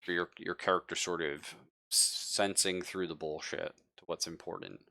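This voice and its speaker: Low voiced guy as game master, kinda bright sound, improvising and explaining stuff emphatically. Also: steady tone, moderate pace, creaky, no room sound, studio quality. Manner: conversational, emphatic, often paraphrasing. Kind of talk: improvised narration of a tabletop horror game.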